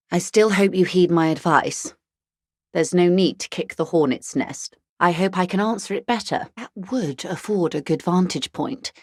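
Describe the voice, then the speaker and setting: A woman playing a composed, world-weary corporate negotiator in a video game, speaking in a measured, articulate and formal way. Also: on the low side, no room sound, studio quality. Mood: grave, diplomatic, guarded.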